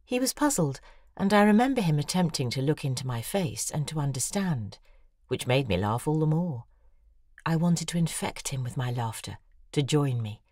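A woman playing an intense, obsessive lover in an audio drama, giving an intimate confessional monologue in a breathy, husky voice. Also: low voice, animated, normal speed, a little mellow, dry recording, clean studio sound. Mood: wistful, intimate.

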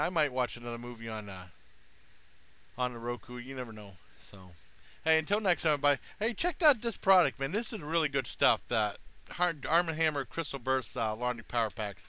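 A man's voice: mid-range voice, pushed and bright, clean tone. A middle-aged American man giving a casual, rambling review in a laid-back, plain-spoken way, recorded on a headset at home. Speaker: product reviewer, vlogger. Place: small room at home.

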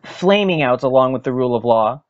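A man's voice: medium pitch, animated. Emphatic American man reading dramatically, slightly muffled. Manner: emphatic, deliberate, with weighted pauses. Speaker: podcast host and political commentator. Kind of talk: dramatic reading of a political article. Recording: dull lo-fi sound with music behind.

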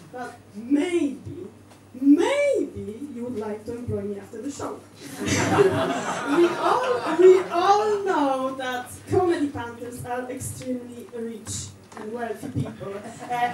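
A young woman with a Polish accent works a small club crowd in a high, bright voice, chatty and halting with fillers and giggles. The sound is roomy and picked up from far back, with audience noise under it. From Poland. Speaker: stand-up comedian in character as a cleaning lady. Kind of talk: stand-up comedy set. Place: small indoor comedy club stage. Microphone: handheld mic, heard from a distant camera.

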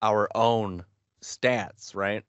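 A man's voice: lowish voice, steady tone, drawn-out words, a bit bright, clear, resonant tone, dry recording, lo-fi sound. A young man chatting casually as a podcast co-host, in an everyday conversational way. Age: young adult. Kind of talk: casual chat. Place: small home room, indoors.

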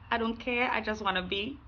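A woman's voice: on the high side, sharp tone, muffled recording. A young woman with a Trinidadian accent, chatting casually to the camera as a vlogger. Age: young adult.

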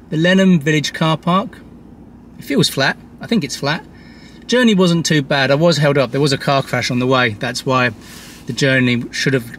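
A middle-aged man with a British accent chatting casually to the camera, thinking aloud inside a car. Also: medium pitch. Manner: thinking aloud while driving and parking. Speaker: car camping vlogger. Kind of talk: casual vlog chat. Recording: loud car and air-fan noise.

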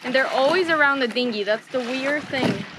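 A young woman vlogging casually from a sailboat deck, chatty and excitable with lots of "like," into a handheld action camera. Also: high-pitched, normal speed. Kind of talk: casual vlog narration. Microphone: action camera held close. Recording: loud wind and water noise.

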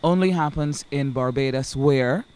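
A co-host, chatting in an upbeat way to sign off a show. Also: male voice, mid-range voice, moderate pace, darkish tone, clean tone. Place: outdoors at night. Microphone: handheld microphone.

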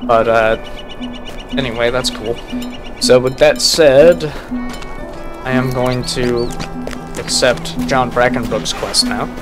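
A young man with a raspy, just-woken voice, casually commenting while he plays a game and reads quest text aloud at home. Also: medium pitch, fairly even intonation, takes it slowly, pauses often. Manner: laid-back, thinking aloud. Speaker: gaming YouTuber. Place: home room at a computer. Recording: game music behind. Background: game music.

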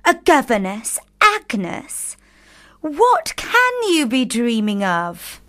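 A dreamy, playful young sister in a period radio play. Her voice is fairly high and swoops expressively. She takes her time, savouring each theatrical phrase. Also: wildly swooping pitch, extremely slow, a bit bright, full character voice. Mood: reading aloud. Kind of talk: period radio drama acting.

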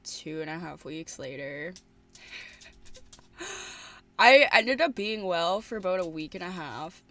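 A woman's voice: lowish voice, animated, slow, fairly bright tone. A young adult woman with a stuffed-up, sniffly voice chatting casually to camera at her bedroom desk. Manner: easygoing, with fillers. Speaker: vlogger.

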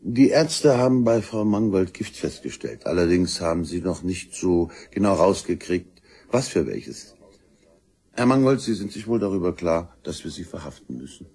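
An actor playing a stern, methodical police inspector in a crime radio play, speaking in a dry, authoritative way. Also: male voice, deep voice, expressive, normal speed, gravelly, dark tone, breathy edge, heavily acted. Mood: dry, probing. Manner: dry, matter-of-fact, authoritative.

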